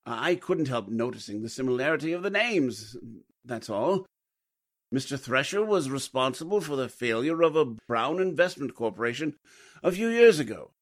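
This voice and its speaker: A man playing a well-mannered, apologetic gentleman in 1940s radio drama style. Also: mid-range voice, big pitch swings, moderate pace. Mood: coy, hesitant. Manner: mild, polite, apologetic. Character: diffident, well-mannered gentleman, coyly informative.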